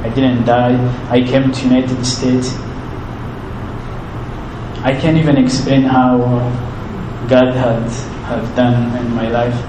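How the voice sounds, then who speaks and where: A young man in his twenties with a Rwandan accent, a native Kinyarwanda speaker, answering interview questions earnestly and haltingly, often repeating words as he searches for them. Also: mid-range voice, little pitch movement. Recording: roomy with background noise.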